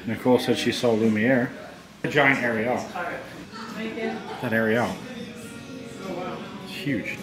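A man's voice: mid-range voice, fairly even intonation, slow pace, pauses often, over loud music. An American man in his thirties narrating a casual vlog as he goes around, recorded on a handheld camera. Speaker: vlogger. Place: out and about on a boardwalk and in restaurants. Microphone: handheld camera at arm's length. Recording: noisy handheld camera audio with ambient din.

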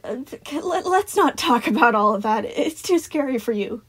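A woman's voice: high voice, animated, moderate pace, pitched above the performer's own voice. A woman voicing a cheerful but nervous male animatronic daycare attendant in an audiobook-style story, with forced enthusiasm and a tendency to stammer.

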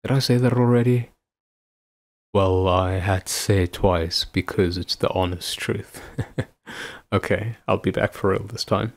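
A man playing a devoted, affectionate husband in an intimate audio roleplay, with a husky voice. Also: deep voice, steady tone, measured pace. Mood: playful, loving. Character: devoted, affectionate husband, playful then anxiously caring. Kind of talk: intimate ASMR boyfriend-style roleplay acting.

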